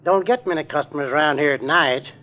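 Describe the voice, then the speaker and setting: An actor playing a chatty gas station attendant in 1940s radio drama style. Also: male voice, fairly high pitch, fairly quick, sharp tone. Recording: dull lo-fi old radio recording.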